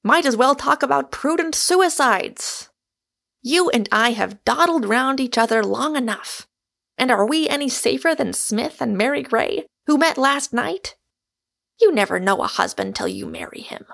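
A woman's voice: very deep voice, wildly swooping pitch, fast talker, vocal fry, few pauses, pitched below the performer's own voice, exaggerated voice. A woman voicing an exuberant, argumentative Irishman, a storybook suitor who declaims with great emphasis. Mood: impassioned.

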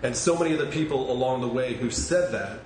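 A nasal, stuffy-sounding man in his forties, blunt and emphatic, swearing freely as he speaks his mind. His voice bounces around an echoey restaurant room with a faint murmur of noise behind him. His pitch is ordinary for a man. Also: animated, fast talker. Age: 40s. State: has a cold. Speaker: singer of a hardcore band, podcast guest. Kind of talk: casual podcast conversation.

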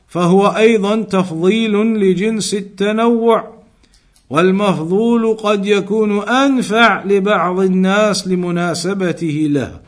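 A man's voice: high-pitched, a bit bright. A man giving a religious lesson as a teacher, reading from a text and explaining it, restating his points in a didactic way. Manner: didactic, repeats and rephrases points.

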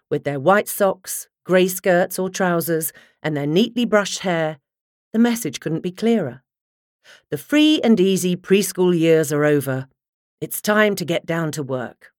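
A woman reading a nonfiction audiobook in a measured, articulate way, close to the microphone. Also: lowish voice, no echo. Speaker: audiobook narrator. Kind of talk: scripted nonfiction audiobook narration.

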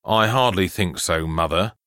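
A man's voice: mid-range voice, lively intonation, unhurried, fairly bright tone, clean tone, dry recording. Guy doing a snooty super serious 12 yr old boy, stiff and formal, cold, storybook style, dry sound. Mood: cold contempt. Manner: formal, stiff, disdainful delivery. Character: pompous, deadly serious twelve-year-old boy, cold and humourless.

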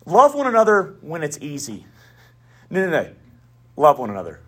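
A man preaching a sermon in a Southern US accent, with emphatic declarations, quiet asides and folksy humor. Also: fairly high pitch, breathy edge. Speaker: pastor.

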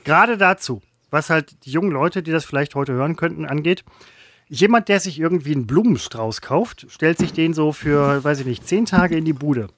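A man co-hosting a comedic chat, musing wryly and dropping deadpan quips. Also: on the high side, moderate pace. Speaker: podcast co-host.

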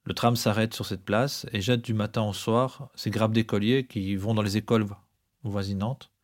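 A man's voice: on the low side, steady tone, normal speed. A man co-hosting a podcast with scripted, explanatory narration.

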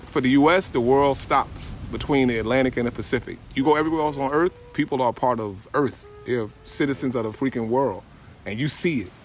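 A man telling a story in a lively, slangy, casual way. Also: medium pitch, a little fast. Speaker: traveler in conversation.

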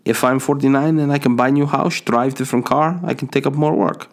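A man's voice: medium pitch, fairly even intonation, fairly quick, pitched above the performer's own voice, close to an everyday voice. A man voicing a boastful older Dutch man with a Dutch accent.

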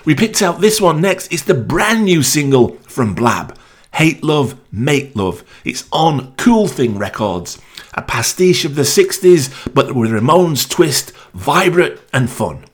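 A man's voice: fairly high pitch, very animated, takes it slowly, breathy edge. A man hosting a new-music radio show, introducing songs in an upbeat, enthusiastic way. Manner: upbeat, enthusing over the picks. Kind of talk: radio DJ links between songs.

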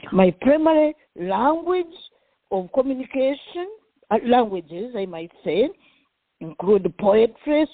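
A woman's voice: medium pitch, breathy edge, no echo. A Ugandan-accented woman in her sixties, a professor and pastor, introducing herself in a radio interview, speaking expressively with some fillers. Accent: Ugandan, with some American Midwest. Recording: tinny phone line, dry.

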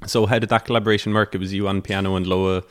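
A man with a somewhat low voice hosts a casual podcast interview. He asks questions in a chatty, curious way. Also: little pitch movement, rapid-fire. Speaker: podcast host.

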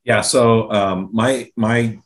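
A man's voice: on the low side, fairly even intonation, slow pace. A man from Central Ohio, a guest in an interview, talking casually with many fillers and restarts. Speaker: guest, engineering director.

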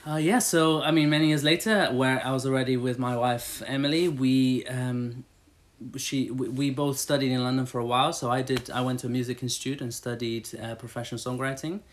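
A man's voice: mid-range voice, steady tone, brisk. Chatty man, rambling church-staff interview. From Brazil. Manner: chatty, rambling, many fillers and restarts. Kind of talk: casual interview answers.

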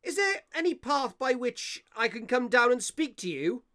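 A man acting as a curious, courteous Victorian gentleman who narrates a ghost story in a radio play, with theatrical, deliberate phrasing. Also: extremely high pitch, sing-song, dry recording, clean studio sound, heavily acted. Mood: courteous, puzzled. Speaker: narrator of an audio drama.

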